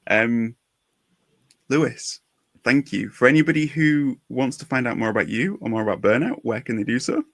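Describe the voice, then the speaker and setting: A man's voice: mid-range voice, animated, moderate pace, a bit bright, no echo. A man hosting a casual podcast chat in an encouraging, enthusiastic manner. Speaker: podcast host.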